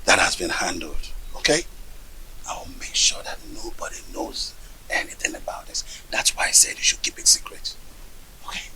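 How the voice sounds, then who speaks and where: A man's voice: sits low, very animated, normal speed, low-quality recording. An actor playing a scheming man who whispers his secret plans with sly little laughs. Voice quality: gravelly, whispering.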